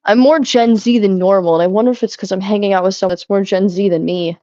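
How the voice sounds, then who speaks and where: A woman's voice: medium pitch, steady tone, fast talker, warm tone, dry recording. A young adult woman streaming while she cleans, chatting loosely with her viewers, giggling and swearing often. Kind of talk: casual live-stream chat while cleaning. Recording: slightly muffled, dry sound.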